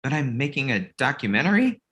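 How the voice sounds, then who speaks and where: A man acts out a glib, self-deprecating filmmaker in a comedy read. The voice is mid-pitched and very animated in intonation, with a casual, wry delivery. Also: fairly quick. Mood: persuasive. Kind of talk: table read comedy acting.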